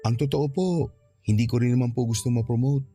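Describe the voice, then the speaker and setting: A man's voice: medium pitch, steady tone, fast talker, dark tone, soft background music, close to an everyday voice. A man playing a confident, polite young suitor in a radio drama, speaking easily with a Filipino accent. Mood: polite, deferential. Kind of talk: Tagalog radio drama acting.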